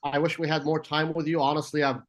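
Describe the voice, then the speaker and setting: Halting, admiring male co-host. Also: fairly high pitch, flat delivery. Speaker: podcast co-host, lawyer. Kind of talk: conversational interview.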